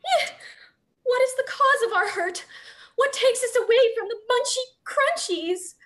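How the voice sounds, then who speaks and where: A woman's voice: very high-pitched, unhurried, a bit bright. A young woman playing a sly, hungry male shark with babyish sing-song phrasing in a play reading. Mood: greedy, indignant. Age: young adult. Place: small dim room indoors. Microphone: computer mic on video call.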